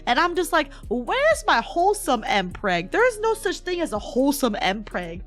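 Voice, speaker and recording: A woman's voice: high voice, wildly swooping pitch, moderate pace, fairly bright tone, faint music behind. A woman livestreamer chatting casually and playfully with her viewers in a giggly, bantering way. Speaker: VTuber livestreamer.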